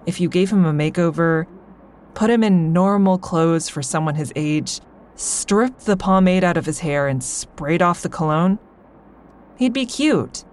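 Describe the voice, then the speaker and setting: A woman's voice: on the low side, lively intonation, a little slow, darkish tone. A woman playing a sardonic, anxious insomniac, confiding dryly as she narrates a first-person audio drama. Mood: wry, observant. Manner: wry, confiding, dry.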